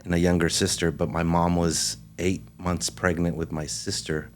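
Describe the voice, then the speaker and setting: A man born in Mexico, a guest in a casual interview, telling his story in a relaxed way and laughing easily. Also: low voice, moderate pace, a little hiss or hum.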